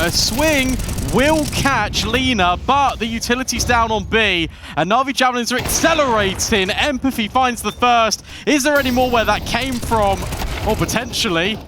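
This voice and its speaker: A man doing live play-by-play commentary on an esports match, excitable and quick to build with the action. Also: very high voice, background noise. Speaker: esports caster.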